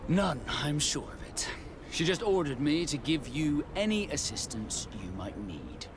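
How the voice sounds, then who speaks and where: An actor playing a reserved, dry sea captain in a video game, curt but polite. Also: male voice, a little high, big pitch swings, unhurried, noisy background. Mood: reluctant. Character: guarded, dry sea captain, reluctantly at service.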